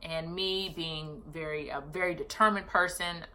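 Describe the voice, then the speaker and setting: A woman in her thirties talks straight to her viewers in a loose, chatty vlog style. Her voice sits a little low but comes across bright and forceful. She often stops to correct herself mid-sentence and keeps going. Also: fairly even intonation, measured pace. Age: 30s. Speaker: vlogger. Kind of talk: casual personal vlog storytelling.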